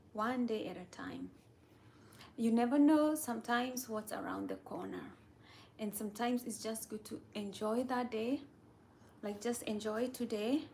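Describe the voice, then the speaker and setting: A woman's voice: a little high, a little mellow, a little hiss or hum. A woman hosting a casual livestream, chatting and reading viewer comments aloud. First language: Kamba.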